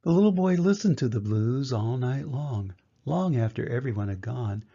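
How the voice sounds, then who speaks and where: Grandfatherly male storybook reader, older, gentle and measured, dark husky tone, muffled audio. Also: medium pitch, expressive. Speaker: grandfather reading a picture book aloud. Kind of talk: storybook narration for children. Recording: dull, muffled lo-fi sound.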